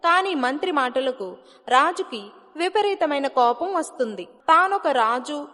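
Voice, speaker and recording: A woman's voice: high-pitched, animated, measured pace, bright, forceful tone. A woman giving scripted narration of a moral story as its narrator. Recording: long hall-like reverb, music behind.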